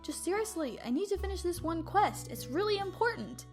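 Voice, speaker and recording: A woman's voice: very high-pitched, expressive, brisk, over music, voice pushed up. A woman voicing an irritable teenage boy gamer in a story reading, whiny and impatient. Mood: pleading.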